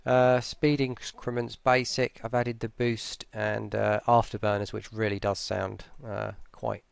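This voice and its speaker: A tired man giving a casual, unscripted software walkthrough in a hesitant, low-key, rambling way, speaking close to the mic. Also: flat delivery, measured pace, gravelly, clean tone, dry recording. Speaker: voice pack creator presenting an update.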